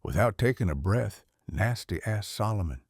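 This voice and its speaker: Animated, gravelly Southern storytelling man. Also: lowish voice, normal speed, no echo, studio quality. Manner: folksy, animated. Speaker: children's storyteller. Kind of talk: expressive read-aloud storybook narration.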